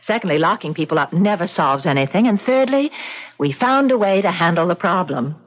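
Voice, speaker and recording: A husky, low-pitched woman's voice as a serene and trusting wife in a vintage radio drama. She is measured and earnest, soothing as she goes. The recording is dull and old. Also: lively intonation. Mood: gently insistent. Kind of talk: old-time radio mystery acting.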